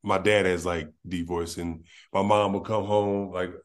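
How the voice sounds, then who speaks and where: This is a male podcast host from Los Angeles with a fairly low, deep voice. He talks loose and slangy in a relaxed, easygoing chat and laughs easily. His speech stays casual throughout. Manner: laid-back, slangy, quick to laugh.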